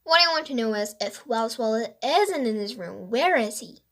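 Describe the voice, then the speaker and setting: A woman's voice: a little high, lively intonation, moderate pace. A woman voicing an earnest, worried young boy detective in a children's storybook, with an urgent, questioning manner. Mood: puzzled.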